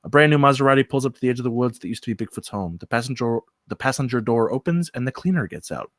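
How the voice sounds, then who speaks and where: A man's voice: medium pitch, animated, fast, a bit bright, no echo, studio quality. A man reading screenplay stage directions aloud as scripted narration.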